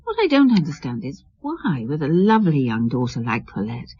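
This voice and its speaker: A kindly wife in a radio play, husky, lowish, swoops right up and down, bit muffled. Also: female voice, huge pitch swings, normal speed, dark tone, exaggerated voice. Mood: concerned. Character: kind, hospitable inspector's wife, mildly disapproving. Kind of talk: radio drama acting.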